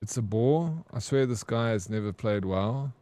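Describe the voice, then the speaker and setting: A young man with a Johannesburg South African accent, live-streaming a game and chatting excitedly with his chat in slangy, casual talk. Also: fairly low pitch, moderate pace, no room sound.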